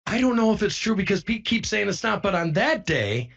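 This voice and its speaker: Male online broadcaster, pitched a bit high, informal and insistent storytelling delivery, slightly lo-fi sound. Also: very animated, brisk, gravelly, fairly bright tone. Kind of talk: informal first-person account to viewers.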